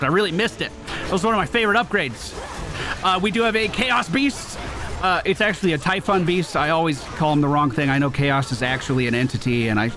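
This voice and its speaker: A man giving casual live gameplay commentary as a streamer, slangy and excitable, with some swearing. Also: a little high. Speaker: gaming streamer. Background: faint game music.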